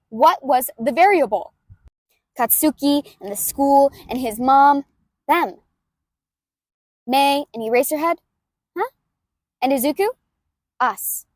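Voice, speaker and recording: High-pitched woman reading aloud, dry sound. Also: no room sound. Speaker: amateur fan fiction reader. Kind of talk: expressive story narration and casual asides. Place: outdoors in a park near a road.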